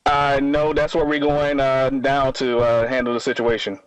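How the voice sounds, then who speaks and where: A man in his thirties calling a radio show, answering haltingly and defensively with stammered restarts. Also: medium pitch, little pitch movement, clear, resonant tone, no room sound, rough recording. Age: 30s. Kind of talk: spontaneous phone-in conversation.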